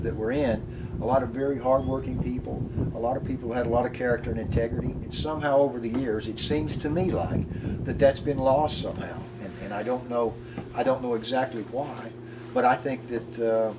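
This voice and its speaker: A man in his fifties muses earnestly on a front porch, stopping and restarting as he gathers his thoughts. He sits some way from the mic, and steady outdoor noise runs behind his voice. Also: mid-range voice. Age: 50s. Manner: earnest, halting, with frequent restarts. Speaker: porch conversation guest. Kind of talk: casual reflective chat. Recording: distant mic with background noise.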